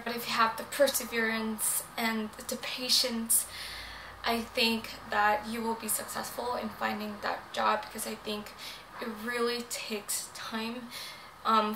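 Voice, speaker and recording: Young non-native English-speaking woman, earnest chatty vlogger, even tone. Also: on the high side. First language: not English. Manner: chatty, many ums, earnest. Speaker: vlogger giving advice. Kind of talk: casual advice vlog. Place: room in Japan. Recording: echoey room, faint noise.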